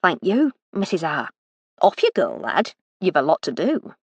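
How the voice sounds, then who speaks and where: A cheery, motherly older cook, played by a woman, chatty and warmly bossy. Her voice bounces with lively pitch and has a husky grain. It sounds close and dry. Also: normal speed, dry recording, full character voice.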